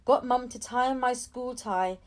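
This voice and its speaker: Higher-pitched woman reading a children's story. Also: a little slow. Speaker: audiobook reader. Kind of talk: expressive children's story read-aloud.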